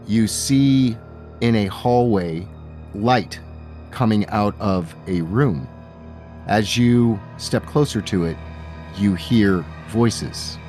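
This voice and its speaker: A man with a low voice works as game master, narrating a tabletop fantasy game. He sets each scene deliberately, in measured phrases with pauses, while faint music plays behind him. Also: darkish tone.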